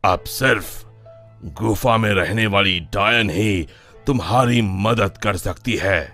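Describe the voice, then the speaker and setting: A man voicing a grave old holy man in a cartoon, who gives advice slowly and solemnly. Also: lowish voice, sharp tone, soft background music, full character voice. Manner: slow, solemn, advising. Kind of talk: cartoon voice acting.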